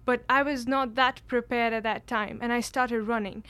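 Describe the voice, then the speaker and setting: Teen girl, smooth clear voice, a bit high, earnest storytelling, stumbles and repeats words. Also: steady tone, moderate pace, a bit bright, no echo. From Kashmir, India. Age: late teens. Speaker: exchange student. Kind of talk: personal storytelling.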